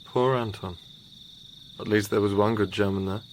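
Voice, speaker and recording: A man's voice: on the low side, steady tone, normal speed, a little hiss or hum, lightly acted. An actor playing a proud, sceptical young Englishman in a radio drama. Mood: quiet questioning. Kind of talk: radio drama dialogue and first-person narration.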